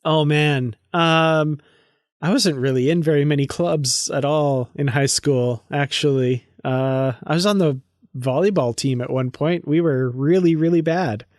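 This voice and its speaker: A man's voice: a little high, unhurried, dry recording, clean studio sound. A man co-hosting a podcast, talking in an informal, enthusiastic, conversational way. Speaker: podcast co-host. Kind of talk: casual podcast chat.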